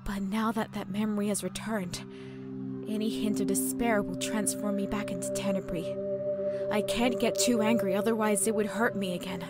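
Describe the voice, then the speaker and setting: A woman's voice: mid-range voice, steady tone, normal speed, over loud music, natural voice. A woman voicing an earnest young pony heroine. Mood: worried. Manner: earnest, urgent. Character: young half-god pony heroine, determined and hopeful. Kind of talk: fan audio drama acting.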